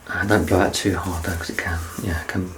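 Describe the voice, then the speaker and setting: A man in his thirties doing a soft-spoken ASMR roleplay as a doctor, gentle and reassuring, often close to a whisper. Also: sits low, flat delivery, a little fast, rough recording. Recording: clear background noise and ambience.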